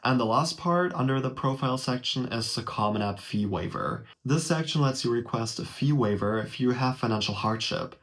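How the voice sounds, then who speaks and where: A man's voice: mid-range voice, expressive, moderate pace, few pauses, a bit bright. Brief: young German man, native German speaker, casual friendly how-to walkthrough, close webcam in a small room, mid-range voice. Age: young adult. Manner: friendly, direct, speaks to the viewer. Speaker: vlogger explaining a college application.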